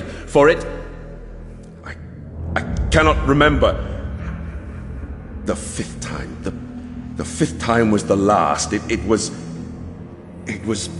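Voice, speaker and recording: Guy doing a haunted fierce armored warrior for a fantasy audiobook, slow and declaiming, big reverb. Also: medium pitch, fairly bright tone. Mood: haunted confusion. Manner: declamatory, halting. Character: armored holy warrior, male, fierce but haunted and faltering. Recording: long reverb, music underneath.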